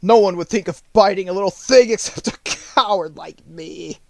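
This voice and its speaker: A man's voice: sits high, moderate pace, vocal fry, darkish tone, dry recording, pitched above the performer's own voice. Man putting on a big, mournful cowardly lion. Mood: sad, confessing. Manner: sorrowful, confessing.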